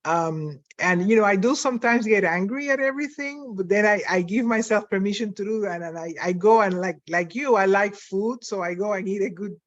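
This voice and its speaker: A woman's voice: medium pitch, fairly quick, few pauses, dry recording. An older woman with a Spanish accent speaks reflectively as a panelist over a video call. She often restarts her sentences with small fillers. Her voice comes through dry and slightly muffled, like lo-fi call audio. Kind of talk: conversational panel talk.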